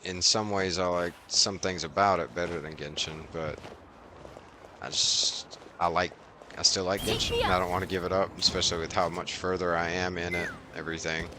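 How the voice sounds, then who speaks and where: A man's voice: low-pitched, steady tone, normal speed, background noise. A man who streams games, chatting casually in short muttered fragments as he plays. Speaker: variety game streamer. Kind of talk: casual livestream gaming chat. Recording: game music behind the voice. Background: video game music.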